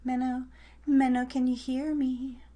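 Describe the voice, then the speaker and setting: A woman's voice: high-pitched, flat delivery, measured pace, mellow, slight background noise. A woman hosting a live online radio show, chatting casually and playfully with listeners and a guest. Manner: chatty, playful, informal teasing.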